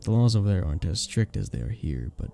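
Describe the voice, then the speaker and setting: A man voicing a charming, modest vampire bartender in a soft-spoken ASMR roleplay, with a gentle, polite and slightly formal manner. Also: sits low, sing-song, fast talker, creaky, heavily acted. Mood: wistful, hesitant. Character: charming, sweet, modest young-looking male vampire bartender. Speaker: voice actor in an audio roleplay.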